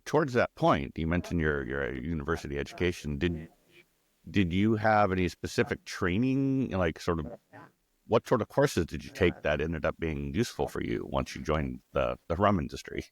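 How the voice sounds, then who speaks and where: A man's voice: very deep voice, lively intonation, normal speed. A man hosting an interview, asking upbeat questions with occasional stammers. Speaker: interview host. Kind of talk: interviewing a guest.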